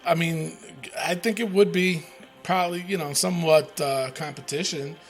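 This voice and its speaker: A man's voice: on the high side, steady tone, moderate pace, soft background music. A man hosting a casual wrestling podcast, rambling with lots of fillers and the odd swear word. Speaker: podcast host.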